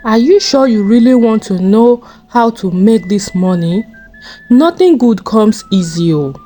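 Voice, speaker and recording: Smug rich male criminal read by a woman. Confident, coaxing, measured pace, mellow tone, folktale villain. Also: a little high, expressive, soft background music. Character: smug wealthy male criminal, smooth recruiter.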